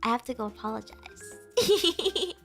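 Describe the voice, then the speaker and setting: A young woman with a high, bright voice and a breezy Valley girl accent, her first language Spanish. She chats with her stream in a bubbly, giggly way, leaning hard on "like". Soft music plays faintly behind her. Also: animated, measured pace. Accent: American Valley girl. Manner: chatty, filler-heavy "like", giggly, bubbly. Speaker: streamer reading chat. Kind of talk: casual stream chat.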